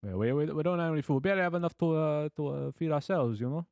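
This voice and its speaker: A man voicing an exaggerated, halting Italian blacksmith in an improvised tabletop roleplay, with a put-on Italian accent. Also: fairly high pitch, gravelly, a little mellow, no echo, pitched above the performer's own voice. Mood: defensive, shrugging. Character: hammy cheerful Italian blacksmith turning New York gangster.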